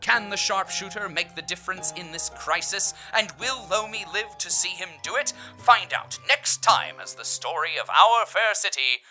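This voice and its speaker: A man's voice: high voice, animated, normal speed, hardly any pauses, pushed and bright, exaggerated voice, low-quality recording. A man playing a melodramatic radio serial announcer, delivering suspenseful cliffhanger narration. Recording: music behind the voice.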